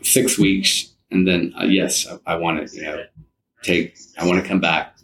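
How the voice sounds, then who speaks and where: Low-voiced man telling stories in an interview. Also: steady tone, unhurried, a bit bright, low-quality recording. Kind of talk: informal interview storytelling. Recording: background audio noise behind voice. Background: faint background audio.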